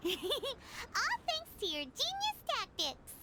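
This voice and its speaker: A woman voicing a tiny, excitable childlike fairy companion in a video game, squeaky, chatty and theatrical. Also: drawn-out words, very noisy, heavily acted. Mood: cheeky. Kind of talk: scripted video game character acting. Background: background music.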